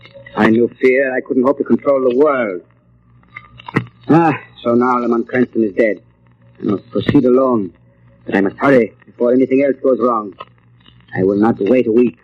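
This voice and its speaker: An actor playing a pompous, sinister mind reader who craves power, in the theatrical style of an old radio drama. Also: male voice, medium pitch, moderate pace, pauses often, natural voice. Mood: haughty, scornful. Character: imperious, sinister male mind reader, megalomaniac turning panicked. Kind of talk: 1940s radio drama acting. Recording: muffled old radio recording, faint noise.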